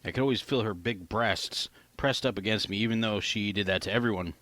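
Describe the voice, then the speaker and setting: A man's voice: on the low side, fairly quick, a bit bright, no room sound. A man reading his own first-person novel aloud in a plain, informal amateur narration style.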